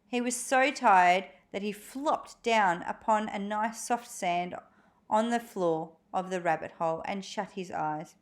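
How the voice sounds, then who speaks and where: A woman reading a storybook aloud for children in an expressive, animated way. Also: mid-range voice, a little slow. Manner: animated, acts out dramatic moments for young listeners.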